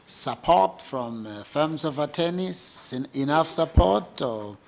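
A man chairing an interview panel, asking short, brisk questions and often answering with a plain "yes". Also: on the high side, animated, creaky. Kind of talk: formal questioning. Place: large formal conference room.

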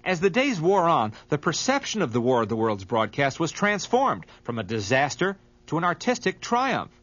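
A man delivers scripted documentary narration in a fairly high, highly animated voice. The recording is somewhat dull and lacks top end. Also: moderate pace. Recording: dull, muffled audio.

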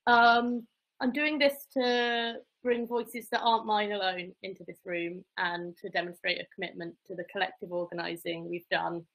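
A young woman with a croaky, recovering voice giving an earnest activist talk on a video call, partly reading aloud. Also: medium pitch, flat delivery, normal speed, fairly bright tone, rough recording. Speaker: activist speaker. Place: home room with plants and bookshelves. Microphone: laptop webcam on a video call.

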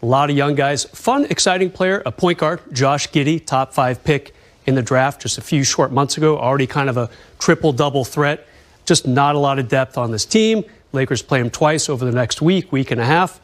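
A man's voice: medium pitch, moderate pace. A man giving broadcast sports commentary as a studio analyst, previewing an upcoming game.

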